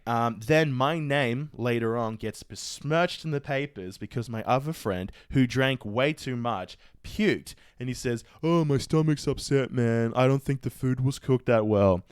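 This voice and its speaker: A man's voice: mid-range voice, measured pace, few pauses, smooth, dry recording, clean studio sound. A man hosting a comedy podcast, telling casual stories with slangy swearing and acted-out quotes. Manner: sweary, slangy, acts out quotes in mock outrage. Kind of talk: casual chat, comic storytelling.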